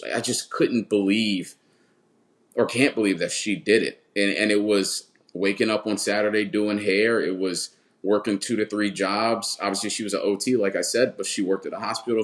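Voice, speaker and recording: Man, 30s, podcast guest coach. Low voice, earnest, repeats words mid-thought. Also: a bit bright. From Washington, D.C. area. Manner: earnest, repeats words when building a point. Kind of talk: casual interview talk.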